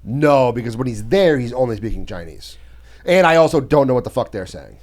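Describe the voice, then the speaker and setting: A man's voice: mid-range voice, animated, brisk, darkish tone. A man in casual podcast conversation, speaking bluntly and informally as a comedian. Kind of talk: casual chat.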